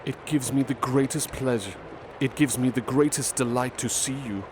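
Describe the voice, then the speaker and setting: A man voicing an excited, effusive young man greeting an old friend, set over loud street ambience. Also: mid-range voice, moderate pace, background noise, lightly acted. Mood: glad, eager. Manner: bright, excited, effusive delivery.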